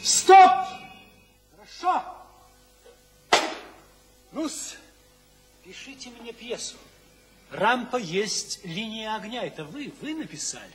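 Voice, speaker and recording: An actor playing a fanatical revolutionary theatre director in a radio drama, declaiming theatrically in exclamations. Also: male voice, sits high, very animated, slow, pauses often, over music, heavily acted. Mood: solemn, intense. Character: fiery avant-garde theatre director, a fanatical revolutionary man. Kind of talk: stage-style radio drama acting. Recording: echoey hall reverb, faint noise.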